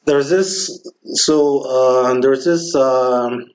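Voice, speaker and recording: A man's voice: medium pitch, fairly even intonation, drawn-out words. Curious podcast host man, muffled sound. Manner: curious, asks questions and sums things up. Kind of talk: casual conversation. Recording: dull lo-fi podcast audio.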